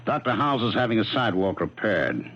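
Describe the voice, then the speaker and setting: An actor playing a methodical police detective in a 1970s radio mystery, probing and insistent in a measured way. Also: male voice, on the low side, big pitch swings, a bit bright. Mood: methodical, pressing. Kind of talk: 1970s radio drama acting. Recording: dull lo-fi 1970s radio broadcast.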